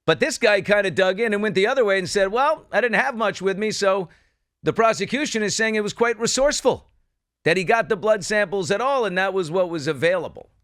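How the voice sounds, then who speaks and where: A man's voice: sits high, a little fast, fairly bright tone, no room sound, clean studio sound. A man hosting a true-crime news discussion, speaking in an emphatic, opinionated way and posing rhetorical questions. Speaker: talk show host.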